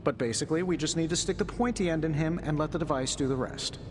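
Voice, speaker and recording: An actor playing a wisecracking middle-aged inventor superhero in a video game, with a glib, chatty manner. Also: male voice, fast talker, over music, natural voice. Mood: glib, explaining. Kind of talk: cinematic video game acting. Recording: long reverb, clear rumbling noise.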